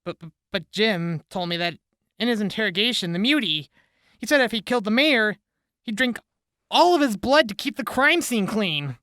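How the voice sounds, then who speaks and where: A woman's voice: mid-range voice, lively intonation, normal speed, fairly bright tone, dry recording, studio quality, lightly acted. A woman playing an anxious, earnest deputy, ready for trouble, in a post-apocalyptic radio drama. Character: anxious, trigger-ready deputy named Carter.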